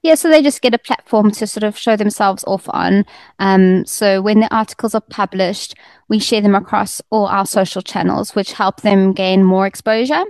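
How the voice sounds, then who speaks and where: A woman's voice: medium pitch, moderate pace, darkish tone, dry recording. Dry-sounding woman editor, chatty. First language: Afrikaans second language; first language English. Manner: friendly, fluent with frequent ums. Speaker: magazine editor. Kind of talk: casual interview chat.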